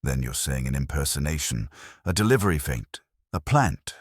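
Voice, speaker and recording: A man's voice: a bass voice, very animated, normal speed, husky, no echo, studio quality, exaggerated voice. A man voicing a stern, suspicious man with a clipped, exact way of speaking, in an audiobook mystery.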